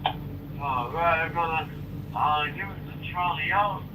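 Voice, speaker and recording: A man guiding a crane lift, giving brief, steady instructions. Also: a little high, takes it slowly. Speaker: crane dogman giving directions. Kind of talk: radio work instructions. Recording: distant two-way radio with loud noise.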